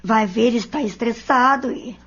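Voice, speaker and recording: A woman's voice: a little high, measured pace, a bit bright, husky, exaggerated voice. A Brazilian woman playing a caring, anxious mother in an audio drama, polite and tender in her concern. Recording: dull lo-fi audio.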